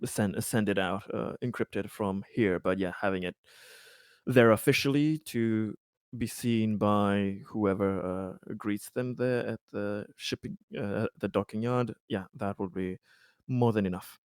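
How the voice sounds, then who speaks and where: Male tabletop roleplayer, lowish voice, repeats himself conversationally, very dry recording. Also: steady tone, clear, resonant tone, no echo. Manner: halting, repeats words as he goes. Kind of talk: casual tabletop roleplaying talk.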